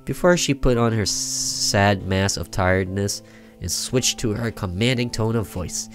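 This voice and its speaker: A man's voice: on the low side, unhurried. A young man reading a horror visual novel aloud as a let's player, with theatrical storytelling. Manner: theatrical storytelling with side comments. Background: game music with guitar.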